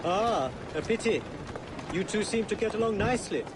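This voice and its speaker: Courtly exiled Indian king over loud music. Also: sits high, expressive. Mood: gentle, curious. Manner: formal, measured, courtly. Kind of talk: video game cutscene acting.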